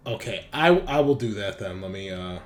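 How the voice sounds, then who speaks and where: A man's voice: lowish voice, lively intonation, fairly quick. A man running a tabletop game as game master, chatting casually and often repeating phrases as he thinks aloud. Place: voice call on headset. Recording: clear background noise.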